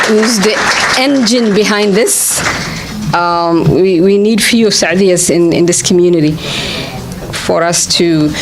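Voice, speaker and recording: A woman's voice: lowish voice, slight background noise, low-quality recording. A woman speaking at a conference, giving a reflective, partly improvised speech in a conversational way, often checking in with the listeners. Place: conference venue.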